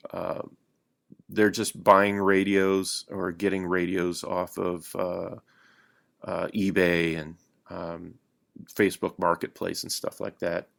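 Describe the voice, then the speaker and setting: A man chatting casually as a podcast guest, halting and often pausing mid-sentence as he thinks. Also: sits low, creaky, fairly bright tone. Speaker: podcast guest, radio hobbyist. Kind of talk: unscripted casual chat.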